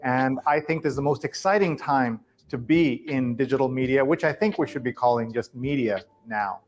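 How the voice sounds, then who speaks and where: A man delivers a scripted promo piece in a polished, measured way, presenting himself to viewers. His voice sits at an ordinary pitch for a man. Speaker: speaker in a promo video.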